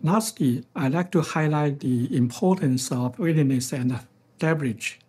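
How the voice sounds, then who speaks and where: A very husky, rough-edged male voice with a Taiwanese accent, pitched a little high. He delivers a formal keynote as an executive would, phrasing each point deliberately. The tone is on the mellow side. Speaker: financial executive giving a keynote speech. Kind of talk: prepared formal conference speech.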